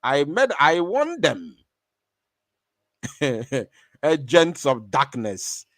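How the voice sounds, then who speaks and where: A man's voice: on the high side, huge pitch swings, very slow, a bit bright, no echo, clean studio sound. A man hosting an online stream, talking in a defiant, taunting way, laughing often and repeating his phrases. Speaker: online show host. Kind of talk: animated live-stream monologue.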